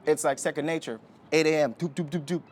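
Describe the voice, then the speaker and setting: A young man from Jamaica talking as a podcast guest, relaxed and rambling with many fillers and restarts. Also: fairly high pitch, fast talker, faint music behind. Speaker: guest, Olympic sprinter. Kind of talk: casual interview talk. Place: indoor podcast setting.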